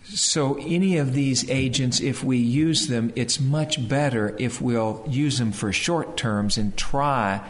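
A man speaks slowly at a live talk as a health speaker fielding questions. He moves haltingly, leaving pauses between short phrases so an interpreter can follow. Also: mid-range voice.

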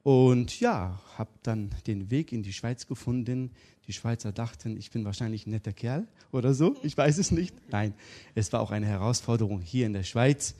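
Middle-aged preacher guy from turkey, jokey storyteller, lively. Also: mid-range voice, normal speed. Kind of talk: interview and sermon.

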